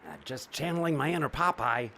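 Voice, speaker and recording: An actor playing a harried, evasive stage magician and father in an audio drama, hesitant, placating and sometimes sarcastic, with a gravelly voice. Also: a little high, fairly quick, sharp tone, a little hiss or hum. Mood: jittery, playful.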